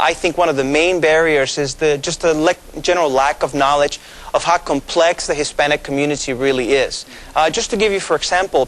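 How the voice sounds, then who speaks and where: A man in his thirties from Mexico, a pastor with Spanish as his first language, explaining things in a measured way with many fillers during a TV studio interview. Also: a little high, fairly bright tone, low-quality recording. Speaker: pastor, guest.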